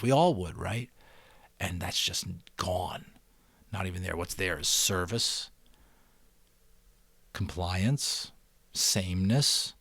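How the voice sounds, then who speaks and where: Middle aged guy reading a book out loud and chatting, deepish voice, earnest, really dry recording. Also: no room sound. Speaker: audiobook reader and host. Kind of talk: dramatic book narration with casual commentary.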